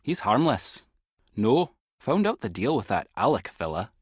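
A secretive video game spy contact. His voice is slightly husky and swings widely in pitch, with a dry, theatrical edge. The sound is close and dry. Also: sing-song, a little slow, no echo. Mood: brisk, guarded. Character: secretive male spy contact, brisk and wry.

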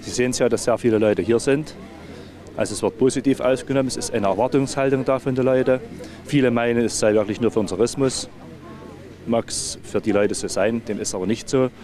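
A man's voice: medium pitch, a little fast, darkish tone. A middle-aged man, answering interview questions outdoors as a mayor.

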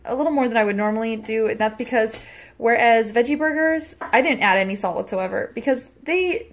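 A woman's voice: on the high side, brisk. Chatty self-deprecating woman in kitchen. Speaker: cooking vlogger. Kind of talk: casual cooking vlog chat. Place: small home kitchen, indoors. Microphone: phone camera held close.

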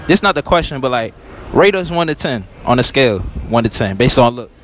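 A man's voice: mid-range voice, sing-song, brisk, fairly bright tone, slight background noise. A young man in a mall, holding a phone like a mic and questioning strangers in playful, slangy banter. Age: young adult. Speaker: host asking strangers questions. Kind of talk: casual street interview. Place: indoor shopping mall. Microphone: phone held to the mouth.

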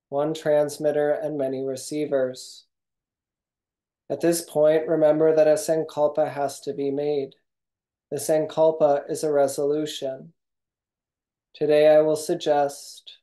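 A yoga nidra instructor reading a guided meditation script in a calm, measured, instructional way. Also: mid-range voice.

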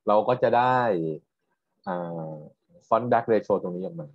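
Low-voiced male lecturer, roomy dull recording. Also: slow pace, slightly rough. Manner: explanatory, checks understanding with frequent tag questions. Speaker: university lecturer. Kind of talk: technical lecture with questions to students.

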